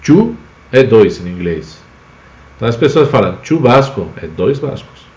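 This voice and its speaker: A man's voice: medium pitch, sing-song, a little slow, darkish tone, echoey room, highs cut off. A man from Spain, a native Spanish speaker with a Spanish accent, explains words with examples in an informal live language lesson as the teacher. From Spain, Basque Country.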